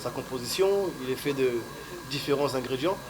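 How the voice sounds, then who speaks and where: A Congolese man answering interview questions in a polite, measured way with fillers, recorded in an outdoor restaurant. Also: mid-range voice, background noise. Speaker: guest, association founder. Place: outdoor straw-hut restaurant.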